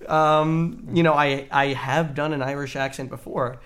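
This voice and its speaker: A man's voice: a little high, fairly even intonation, a little slow, fairly bright tone. Man telling a story with quoted dialogue. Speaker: actor guest. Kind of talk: casual interview.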